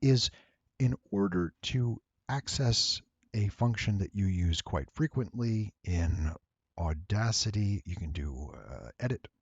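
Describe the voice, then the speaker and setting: Casting: male tutorial host, low and husky, slightly nasal, unhurried and playful, dry close recording. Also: expressive, no echo, muffled recording. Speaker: voice actor giving a software tutorial. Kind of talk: casual screen-recorded tutorial.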